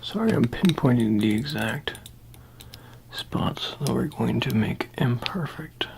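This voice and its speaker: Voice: mid-range voice, animated, takes it slowly, creaky, noisy background. An ASMR performer in a roleplay as a secretive, reassuring handler, murmuring improvised instructions to the listener in a hesitant, rambling way. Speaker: ASMR performer playing a character who preps the listener. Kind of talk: whispered ASMR roleplay, improvised personal attention.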